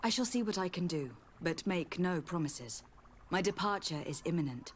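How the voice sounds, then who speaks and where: A woman's voice: lowish voice, lively intonation, moderate pace, a little hiss or hum, close to an everyday voice. Actress as poised young noblewoman diplomat. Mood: courteous, measured. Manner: formal, tactful, composed. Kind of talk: scripted video game dialogue.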